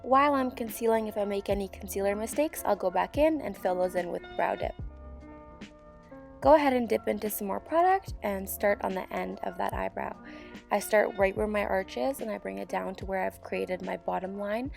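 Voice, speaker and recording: Sick young woman host with a hoarse, gravelly voice explaining a makeup routine step by step. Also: medium pitch, moderate pace, faint music behind. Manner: calm step-by-step instruction. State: hoarse, sick with sore throat. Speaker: beauty channel host. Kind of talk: tutorial voiceover. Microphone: voiceover mic.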